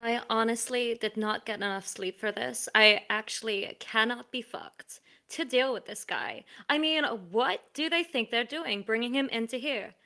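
A woman's voice: a little high, normal speed, gravelly, few pauses, bright, forceful tone. A woman playing a starstruck warehouse worker in an improv comedy scene, gushing and stammering. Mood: fed-up. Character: fawning then sneering female warehouse worker.

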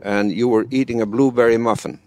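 A man's voice: medium pitch, steady tone, fairly quick, darkish tone, no room sound. A man in his seventies with a Dutch accent, chatting casually as a guest in a matter-of-fact way, in slightly broken English. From Netherlands. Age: 70s. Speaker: guest, amateur film actor. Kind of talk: casual conversation.

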